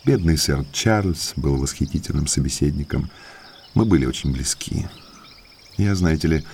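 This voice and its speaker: An actor playing a genial, bookish naturalist in an audio drama, courteous, talkative and polished. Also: male voice, extremely low pitch, darkish tone, a little hiss or hum. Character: genial bookish male naturalist neighbour, eagerly sociable.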